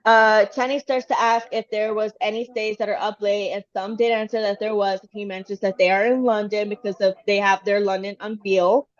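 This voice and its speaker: A woman talks loosely through a fan recap, full of "uh"s and "like"s. She grew up in Texas and speaks at an ordinary, mid-range pitch. The sound is a bit dull and lo-fi. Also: steady tone, moderate pace, few pauses. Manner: chatty, rambling, lots of fillers. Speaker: fan channel host. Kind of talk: casual recap of a livestream.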